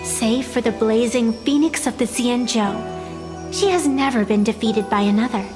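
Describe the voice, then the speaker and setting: A woman performs an ageless female arbiter from a fantasy video game. Her voice sits on the high side, measured and poetic, with a proud, fatalistic gravity. Music plays clearly underneath. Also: natural voice. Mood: solemn storytelling. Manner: solemn, deliberate, poetic, formal. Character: ancient, proud female arbitrator of deadly games, solemn and fatalistic. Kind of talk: scripted video game voice acting, dramatic storytelling.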